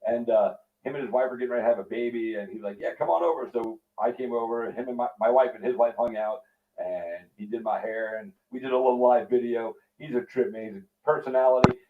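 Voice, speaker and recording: A man's voice: mid-range voice, fast talker, phone-quality sound. A man of fifty-one with an American accent, hosting a casual, profane interview in streetwise slang. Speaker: interview show host. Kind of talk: casual, profane video-call chat.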